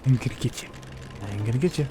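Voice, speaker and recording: A man's voice: medium pitch, fast talker, heavy background noise. A man giving casual gameplay commentary in blunt, sweary, muttered asides. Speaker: gamer, video creator. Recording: game music behind the voice.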